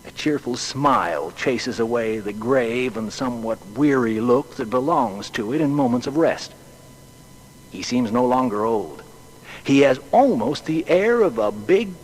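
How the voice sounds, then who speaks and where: An actor playing an observant newspaper reporter who reads out a descriptive portrait in a deliberate, theatrical style. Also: male voice, medium pitch, lively intonation, low-quality recording. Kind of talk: dramatic scripted reading.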